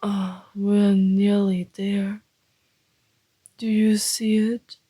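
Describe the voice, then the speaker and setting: A woman voicing a flirty, teasing male vampire in an intimate ASMR roleplay, speaking in a deliberate half-whisper. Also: medium pitch, level tone, mellow, breathy edge, no room sound. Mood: inviting, flirty.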